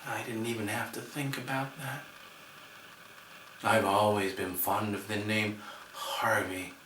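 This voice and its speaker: Man acting a gentle, dreamy rambler in echoey room. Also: fairly low pitch, steady tone, slight background noise. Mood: friendly, rambling. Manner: hesitant, rambling, halting with repeated words. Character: gentle, dreamy, friendly bar-going man with an imaginary friend. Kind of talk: theatrical stage monologue. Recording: echoey room, distant microphone.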